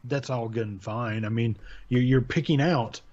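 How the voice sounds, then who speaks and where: A man's voice: medium pitch, moderate pace, a little mellow. A young man hosting a casual chat about horror stories, rambling and laid-back, correcting himself as he goes, on a desk microphone. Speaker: channel host reviewing horror stories. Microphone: desk mic with pop filter. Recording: dull lo-fi home recording.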